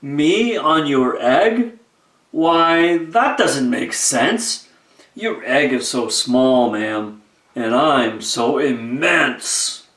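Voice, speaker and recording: A man voicing a huge, gentle, faithful elephant from a storybook, with an earnest, deliberate manner. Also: medium pitch, expressive, reverberant. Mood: amused disbelief.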